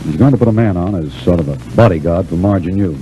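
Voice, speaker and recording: Man playing a calm, very mellow protective friend. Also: sits low, fast talker, close to an everyday voice. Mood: matter-of-fact. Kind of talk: old-time radio serial acting. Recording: muffled, hissy old radio recording.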